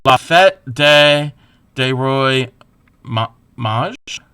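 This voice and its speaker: A man crocheting on a livestream, counting stitches softly under his breath and chatting casually with viewers. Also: medium pitch, animated, extremely slow, clear, resonant tone, no room sound, clean studio sound. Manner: laughs at himself, chats back to viewers.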